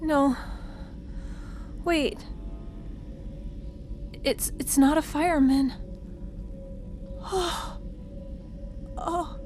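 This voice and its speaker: Woman acting a high-voiced, frightened field reporter. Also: steady tone, darkish tone, soft background music. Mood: hushed fear. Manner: halting, breathless, repeating herself. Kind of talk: modern radio drama acting.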